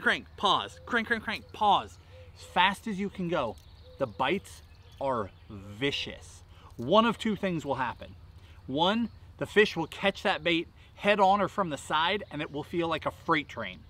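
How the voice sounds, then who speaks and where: A man's voice: fairly high pitch, a bit bright. A man in his thirties hosting a fishing tutorial outdoors by a lake, talking emphatically with rhythmic repeated bursts. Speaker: fishing channel host. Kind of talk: energetic outdoor tackle tutorial. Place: outdoors at a lakeside park, seated. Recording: faint outdoor ambience behind voice.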